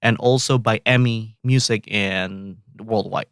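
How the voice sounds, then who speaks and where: A man from Indonesia with an Indonesian accent, a composer guest talking in a halting, relaxed way with many fillers and restarts. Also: lowish voice, clear, resonant tone, dry recording, studio quality. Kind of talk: casual interview chat.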